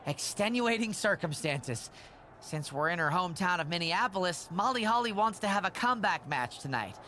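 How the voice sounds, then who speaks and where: A man's voice: sits high, lively intonation, normal speed, pushed and bright, a little hiss or hum. An actor playing a nervous, fawning male wrestling manager who rambles anxiously in a video game cutscene. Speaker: wrestling show official.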